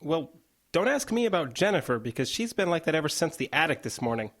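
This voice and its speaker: A man's voice: fairly high pitch, very animated, fast talker, a bit bright, exaggerated voice. A man playing a practical, laid-back station technician in an audio drama, matter-of-fact and dry. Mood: wry, advising.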